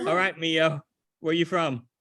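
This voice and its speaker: A man's voice: a little high, fairly even intonation, unhurried, very noisy. An older man from Haiti leading a ground school meeting on a video call, talking casually and often restarting his phrases.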